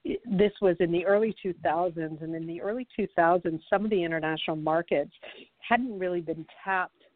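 A woman's voice: on the low side, normal speed, dry recording, narrow, tinny audio. A woman whose first language is French, talking through her career in a chatty interview with many fillers and easy laughs. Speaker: podcast guest, fashion founder. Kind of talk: conversational interview.

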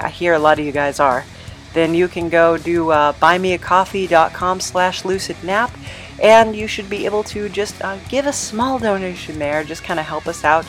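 Low-voiced woman hosting a casual podcast. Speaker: podcast host and creator.

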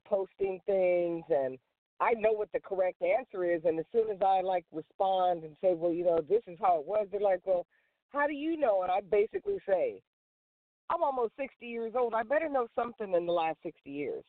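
A woman's voice: medium pitch, animated, a little fast, dry recording. A woman in her late fifties chatting casually on a talk show. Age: 50s. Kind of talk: casual chat. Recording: dry, phone-like call audio.

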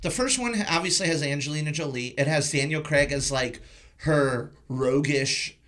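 Male podcast host, slightly high voice, informal and blunt, chatting casually about films. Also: normal speed, a bit bright. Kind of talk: casual film discussion.

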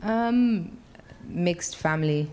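A woman's voice: lowish voice, expressive, extremely slow, mellow, a little hiss or hum. A woman from Nairobi, giving short answers as a guest on stage. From Nairobi, Kenya. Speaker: audience guest. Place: live event with an audience.

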